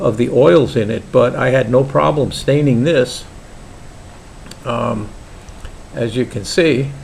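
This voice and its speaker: Gravelly Brooklyn-accented older man. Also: mid-range voice, a little mellow, slight background noise. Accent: New York (Brooklyn). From Brooklyn, New York. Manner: halting, many "uh"s and restarts, easygoing. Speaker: pipe maker vlogger. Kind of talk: casual hobby vlog.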